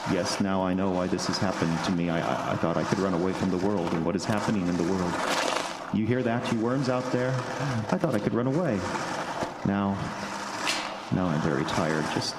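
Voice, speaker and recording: A man acting an anguished, guilt-ridden character unraveling in horror, in a halting, repetitive old-time radio monologue style. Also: low voice, close to an everyday voice. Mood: weary, doomed. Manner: halting, stammering, repetitive dramatic delivery. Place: stage before a live audience. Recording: very noisy, echoey live stage recording.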